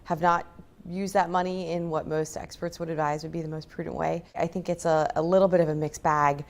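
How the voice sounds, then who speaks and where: A woman's voice: sits low, flat delivery, fairly quick. A woman speaking as a policy expert in a news interview. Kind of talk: interview soundbite.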